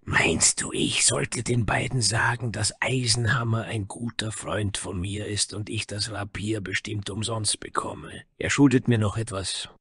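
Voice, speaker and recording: A man voicing a good-humored, mocking male dwarf with a raspy voice and easygoing manner in a fantasy story. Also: fairly low pitch, steady tone, unhurried, sharp tone, dry recording, clean studio sound, full character voice. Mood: sly, chummy. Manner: teasing, easygoing.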